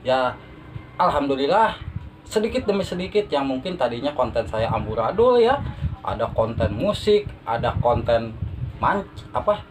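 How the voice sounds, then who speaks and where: A man's voice: mid-range voice, big pitch swings, moderate pace. Humble man, faintly noisy recording. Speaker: podcast guest, beginner YouTuber. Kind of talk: casual interview answers. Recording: noisy amateur phone recording.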